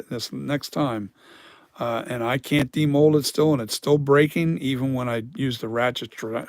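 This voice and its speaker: A man with a dry, hoarse voice, talking casually on a live stream about mask making, rambling and correcting himself as he explains. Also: medium pitch, dry recording.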